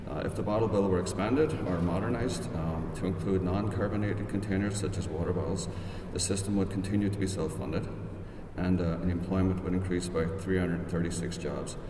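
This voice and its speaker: A man's voice: low-pitched, steady tone, brisk. A middle-aged man with an Irish accent presents the results of an economic study, speaking carefully with many fillers and restarts. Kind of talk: press conference remarks. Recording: echoey hall, distant mic, background noise.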